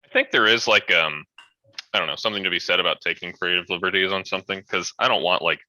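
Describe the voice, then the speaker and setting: A man's voice: sits low, quick pace, sharp tone, no echo, low-quality recording. A man chatting casually and informally as a co-host on a podcast. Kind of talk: casual chat.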